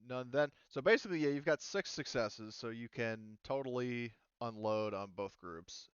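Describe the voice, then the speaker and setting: A man running a tabletop roleplaying game as game master, talking casually and haltingly as he thinks through the rules aloud. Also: medium pitch, clean tone, no room sound, muffled recording. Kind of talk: casual tabletop game refereeing over online session.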